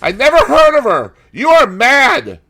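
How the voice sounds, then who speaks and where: A man voicing a terrified gentleman in an audiobook, gasping and crying out as he pleads for his life. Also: extremely high pitch, wildly swooping pitch, unhurried, voice pushed up, heavily acted.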